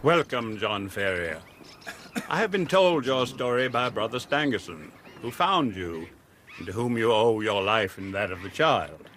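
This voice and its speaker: An actor playing a solemn, commanding religious leader in a radio drama, with stately, oratorical delivery. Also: male voice, lowish voice, expressive, a little slow, a bit bright, slight background noise. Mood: solemn, stern. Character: solemn, commanding religious prophet leading a wagon train.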